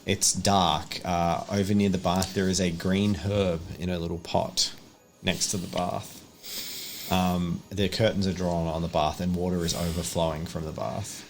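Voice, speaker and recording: A man with a deep, creaky voice acts as game master of a horror tabletop game. He moves between vivid scene-setting and casual rules talk. The recording has a faint background noise. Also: little pitch movement, measured pace.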